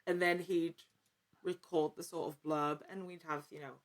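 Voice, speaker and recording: A woman speaking as an interview guest, chatting casually with halting, emphatic repetition. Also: fairly low pitch, level tone. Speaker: actor and podcast guest.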